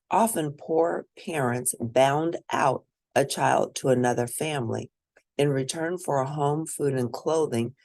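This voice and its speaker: A woman reads a picture book aloud in an expressive, teacherly way, speaking to young listeners. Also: a bass voice, dry recording. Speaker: children's read-aloud narrator and educator.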